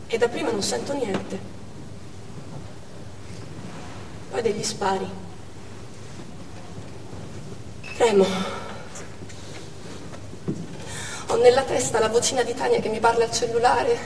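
An actress plays an anguished woman doctor delivering a dramatic theatre monologue. Her voice is high, intense and deliberate, breaking the account into short, urgent phrases. It rings with long reverb in a hall, picked up from a distance. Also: steady tone, close to an everyday voice. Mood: hushed, tense. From Armenia (the character). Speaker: stage actress. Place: indoor theatre stage. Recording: echoey theatre hall, distant mic, faint noise.

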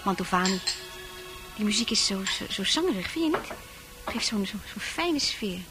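An actress playing a forward, coaxing woman who is a bar regular in a Dutch radio drama, familiar and expressive. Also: mid-range voice, normal speed, lightly acted. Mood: flirtatious. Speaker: actor in a radio play. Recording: music playing behind.

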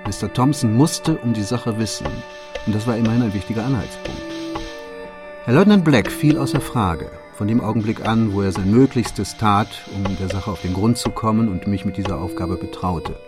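An actor playing a shrewd detective in a German radio play, laying out his case in a deliberate, formal and precise manner. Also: on the low side, over music, natural voice. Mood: methodical, assured. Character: shrewd, methodical male detective recounting his solved case.

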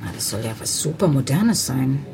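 An actress playing a weary, sceptical job-centre clerk in a radio drama, curt and bureaucratic. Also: female voice, very deep voice, lively intonation, moderate pace, gravelly, exaggerated voice. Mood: grudgingly helpful.

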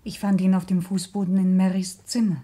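Woman playing a composed loyal young lady in a radio crime play, mellow voice, careful and sincere. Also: medium pitch, little pitch movement, brisk, close to an everyday voice.

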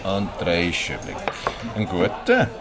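A polite waiter in a radio crime play, loads of diner chatter around. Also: male voice, low voice, huge pitch swings, takes it slowly, heavily acted. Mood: courteous. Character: polite, businesslike Swiss restaurant waiter. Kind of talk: German radio crime drama acting.

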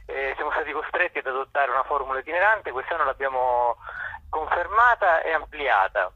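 A man giving unscripted, halting answers as a guest in a radio interview, searching for words. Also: medium pitch, animated, slightly rough, dry recording, narrow, tinny audio. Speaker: theatre festival director, interview guest.

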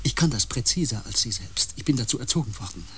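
An actor playing a proud, hostile outcast empath in a radio drama, formal in wording, cutting and defiant in manner. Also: male voice, medium pitch, expressive, fast, sharp tone, slight background noise. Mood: cutting, challenging.

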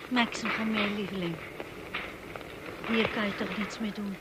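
An actress playing a devoted, wistful young wife in a radio drama, speaking in a measured and solemn way. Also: female voice, mid-range voice, steady tone, heavy background noise, lightly acted. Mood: anxious, pleading. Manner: slow, measured, solemn delivery. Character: Mrs de Winter, devoted young wife looking back wistfully. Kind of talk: radio drama acting and narration.

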